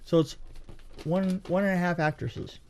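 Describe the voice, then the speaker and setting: Higher-pitched man telling film-trade stories. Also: expressive, unhurried, gravelly, darkish tone. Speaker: podcast co-host and film production designer. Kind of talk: casual movie review chat and anecdotes.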